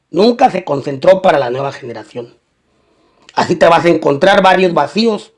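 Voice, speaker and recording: A man's voice: sits high, a little mellow. A man reading a scripted documentary-style crime narration.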